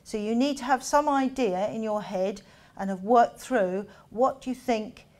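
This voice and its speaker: Brisk middle-aged woman judge. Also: on the high side, darkish tone. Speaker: competition judge. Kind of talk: addressing contestants.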